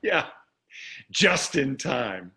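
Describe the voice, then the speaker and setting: Animated, curmudgeonly older husband played by a man. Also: mid-range voice, very slow, heavily acted. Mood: nostalgic. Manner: glib, dismissive. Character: wealthy, breezy, curmudgeonly older husband and father. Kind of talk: staged play reading.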